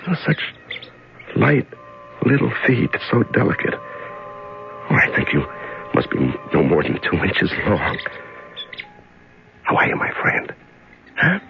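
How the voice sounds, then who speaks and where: A terrified prisoner in the dark. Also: male voice, low-pitched, gravelly, a little hiss or hum, full character voice. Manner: shifts from panic to hushed, fragile self-calming. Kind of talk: 1950s radio drama monologue. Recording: muffled old radio broadcast with faint music.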